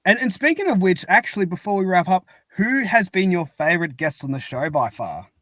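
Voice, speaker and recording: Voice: mid-range voice, lively intonation, a little fast, a bit bright, dry recording, studio quality. A podcast host chatting casually in an effusive, sentimental way. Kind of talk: casual chat.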